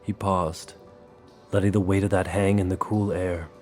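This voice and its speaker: A man's voice: deep voice, flat delivery, moderate pace, darkish tone. A man narrating a scripted dramatic story as its narrator. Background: music under the voice.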